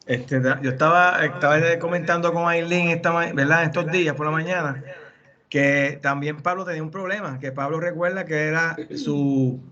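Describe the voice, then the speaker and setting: A man sharing thoughts in a group Bible study, speaking haltingly with many restarts. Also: a little high, fairly even intonation, sharp tone. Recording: muffled video call audio.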